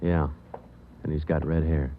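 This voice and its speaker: An actor playing a terse male police sergeant who narrates the case in a clipped, deadpan way, in 1940s radio acting. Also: extremely low pitch, a little slow, heavy background noise. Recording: tinny old radio broadcast with music.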